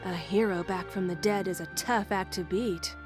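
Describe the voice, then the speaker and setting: A woman voicing a composed, regal squirrel queen in a comic dub, measured and diplomatic. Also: mid-range voice, steady tone, over music, natural voice.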